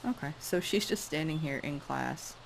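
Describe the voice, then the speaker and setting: A woman with a low, gravelly, raspy voice chats casually over her gameplay, musing aloud as she directs her characters. A faint hiss of noise sits under her home recording. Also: animated, moderate pace. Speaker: let's play host.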